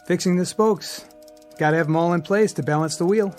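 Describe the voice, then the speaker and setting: A man's voice: high voice, brisk, a little mellow, lightly acted. An American actor playing an easygoing small-town bicycle repairman in a radio drama, with a laconic, folksy manner. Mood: mildly curious. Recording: studio radio drama with music bed.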